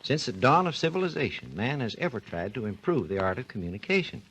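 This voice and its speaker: A man with a somewhat husky voice explains technical history in an even, lecturing manner. His pitch moves freely and expressively as he talks. The sound is an old 1940s radio recording. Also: quick pace, rough recording. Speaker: research engineer guest. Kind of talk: scripted 1940s radio talk.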